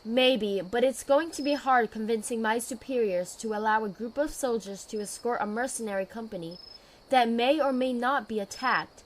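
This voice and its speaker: Woman acting as weary female knight commander, higher-voiced. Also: moderate pace, lightly acted, rough recording. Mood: businesslike. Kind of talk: audio drama acting.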